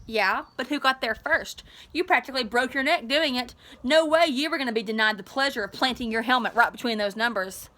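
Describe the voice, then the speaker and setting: A woman's voice: a little high, brisk, few pauses, pushed and bright. A woman voicing a sneering, superior eighth-grade boy who mocks others, in a storybook read-aloud. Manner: sneering, superior put-down delivery.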